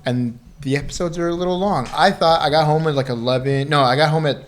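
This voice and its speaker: A man's voice: fairly high pitch, fast talker. A man co-hosting a podcast in casual, easygoing banter, joking and repeating his phrases. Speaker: podcast co-host.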